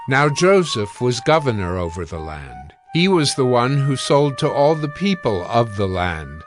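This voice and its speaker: A man narrating scripture in an even, measured way, over faint music. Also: medium pitch.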